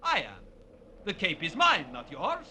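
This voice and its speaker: An actor playing a half-crazed, delicate ballet dancer turned killer in a theatrical, menacing old radio crime drama. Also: male voice, sits high, wildly swooping pitch, a little slow, pushed and bright, exaggerated voice. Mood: cold gloating. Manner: theatrical, menacing, bitterly taunting. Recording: old radio recording, faint hiss.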